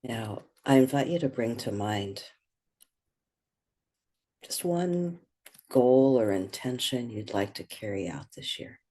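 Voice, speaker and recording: Slow, breathy meditation guide. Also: medium pitch, animated. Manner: gentle, unhurried, pausing between short phrases.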